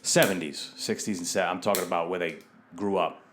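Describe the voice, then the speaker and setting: A man's voice: deep voice, fairly even intonation, fairly quick, fairly bright tone, a little hiss or hum. A man from Rhode Island hosting a podcast in casual, slangy talk, swearing freely. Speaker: podcast host.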